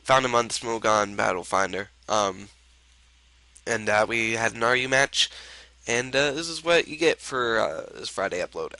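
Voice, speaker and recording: A man's voice: on the low side, bright, forceful tone, no room sound. A young man with a stuffy, sick voice, casually commenting on his own online game match with lots of ums and uhs. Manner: rambling, filler-heavy, laid-back. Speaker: gaming video host.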